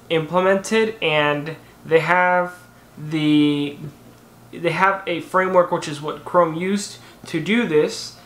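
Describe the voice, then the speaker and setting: A man's voice: high-pitched, fairly bright tone. A young man rambling casually through a tech recap on his channel, correcting himself often and using lots of fillers. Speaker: tech vlogger. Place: indoor room in front of a banner. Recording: echoey room.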